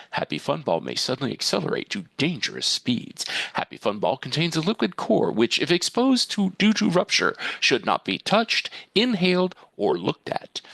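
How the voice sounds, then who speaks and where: A man's voice: on the high side, creaky, fairly bright tone, no room sound, full character voice. A man playing an emphatic, mock-serious television commercial announcer for a toy, in a parody read. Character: booming enthusiastic toy commercial announcer reading grave disclaimers.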